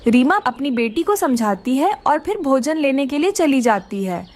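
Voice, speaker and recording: Woman narrator for children's tales: high-pitched, very expressive melody, scripted storytelling. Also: normal speed.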